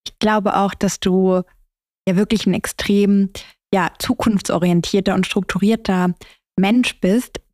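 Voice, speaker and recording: A woman's voice: mid-range voice, steady tone, unhurried, darkish tone, dry recording, clean studio sound. A woman interviewing in a conversational way as a podcast host.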